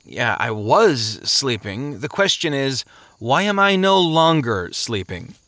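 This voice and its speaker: A man's voice: medium pitch, very animated, sharp tone, no echo, heavily acted. Storybook idler, played by a male narrator: half-asleep, slurred, shrugging and easygoing, close dry recording. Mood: groggy, confused. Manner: slow, vague, shrugging. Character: groggy, indolent man named Sloth, easygoing and careless. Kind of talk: audiobook character voice.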